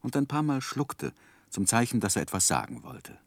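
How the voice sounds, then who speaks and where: Narrator role: thoughtful gentleman memoirist, deep voice, animated intonation, measured literary delivery. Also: creaky, no room sound, exaggerated voice. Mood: reflective. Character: reflective gentleman narrator recalling his youth. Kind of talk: scripted narration in 1960s German radio play.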